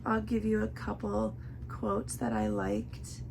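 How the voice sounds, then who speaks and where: A young woman with a hoarse, worn-out voice, chatting casually through a book review with lots of filler words. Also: medium pitch, big pitch swings, slow pace, a little mellow, a little hiss or hum, rough recording. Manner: chatty, many "like" fillers, earnest.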